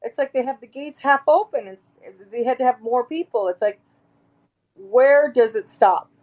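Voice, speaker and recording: Raspy woman on a muffled line. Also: fairly high pitch, moderate pace, a little mellow. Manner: emphatic, rambling with restarts. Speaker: interview guest. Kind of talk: casual interview talk.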